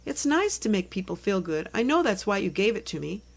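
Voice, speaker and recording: A woman's voice: medium pitch, big pitch swings, brisk, vocal fry. Woman as a kind, serious young schoolgirl. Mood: gently serious.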